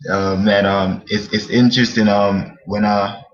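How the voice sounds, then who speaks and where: A man co-hosting a casual history discussion, speaking hesitantly with many pauses and fillers. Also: fairly low pitch, flat delivery, lo-fi sound.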